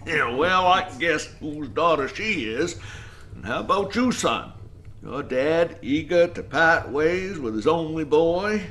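A man's voice: on the high side, very animated, unhurried, fairly bright tone, a little hiss or hum, heavily acted. A man playing a weary, wary old lighthouse keeper in an audio drama, with a folksy drawl. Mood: teasing, skeptical. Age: older.

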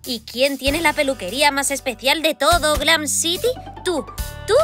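A woman's voice: high-pitched, moderate pace. A woman voicing an upbeat, supportive young girl in a cartoon, encouraging in manner, with a Castilian Spanish accent. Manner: bright-spirited, encouraging. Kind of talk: animated cartoon dub. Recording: studio voice under background music.

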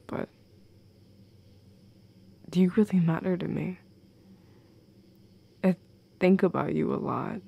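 A woman playing a playful, affectionate goth girlfriend in an intimate audio roleplay, teasing and tender, with soft breathiness, close on the mic. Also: fairly low pitch, creaky, full character voice. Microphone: close, dry microphone.

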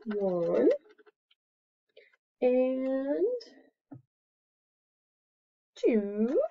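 A woman in her thirties with an expressive, singsong manner, talking to young children as an educator. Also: high voice, drawn-out words. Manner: expressive, singsong, encouraging young listeners to join in. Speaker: children's educator and story reader. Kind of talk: animated read-aloud and casual craft demonstration for young children. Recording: slightly dull webcam recording.

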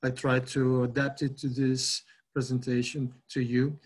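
A middle-aged man from Brazil with a Brazilian Portuguese accent, giving a halting academic webinar talk over a video call with many fillers and restarts. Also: medium pitch, flat delivery, slightly rough. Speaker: guest lecturer.

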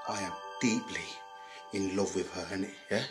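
A man's voice: sits low, steady tone, moderate pace, over loud music, close to an everyday voice. A man playing a chummy, streetwise pantomime servant, chatty and slangy. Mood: confiding.

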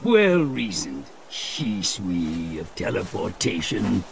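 An actor playing a ruthless, scheming old ninja elder, measured and menacing, in an anime game dub. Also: male voice, low voice, very animated, vocal fry, noisy background, heavily acted. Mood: cold, calculating. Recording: music behind the voice.

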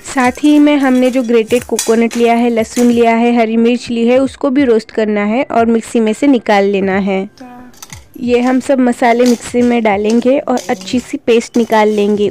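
A woman gives a calm, step-by-step recipe voice-over for her home cooking channel. Her voice sits a little high but sounds soft and mellow. Faint music plays under her talk. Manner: calm, instructive, homely explanations.

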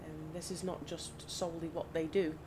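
A woman with a slightly croaky voice from hay fever, chatting casually and thinking aloud as she plays. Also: low voice, little pitch movement, normal speed. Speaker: gaming channel host. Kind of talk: casual gameplay commentary. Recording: audible background noise.

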